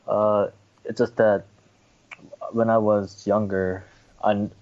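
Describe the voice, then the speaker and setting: Korean-born man, native korean speaker, hesitant, polite, low voice, muffled lo-fi line. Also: level tone, slow pace, a little mellow. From South Korea. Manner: hesitant, polite, lots of fillers. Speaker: baseball writer, guest. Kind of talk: casual interview chat. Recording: dull lo-fi remote line.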